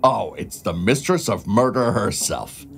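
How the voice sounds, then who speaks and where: Grumpy sarcastic news boss guy, lowish voice, noisy background. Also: very animated, measured pace, gravelly, fairly bright tone, exaggerated voice. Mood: sarcastic, irritated. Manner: sardonic. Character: sarcastic, irritable newsroom boss.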